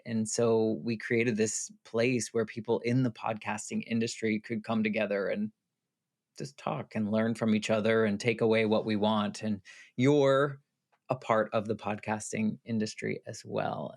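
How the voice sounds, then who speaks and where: Man, podcast host, lowish voice, appreciative, conversational. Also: gravelly. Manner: warm, appreciative, loosely phrased questions. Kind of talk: conversational interview hosting.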